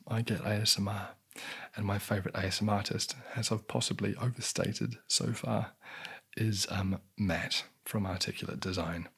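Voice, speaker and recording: A young New man talks softly and unhurriedly into a close mic. His lowish voice is husky and gravelly, worn raspy as if he is losing it. He keeps stopping to clear his throat between answers. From New Zealand. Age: 20s. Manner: soft-spoken, unhurried, frequent throat clearing. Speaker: ASMR channel host. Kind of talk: soft-spoken Q&A ramble.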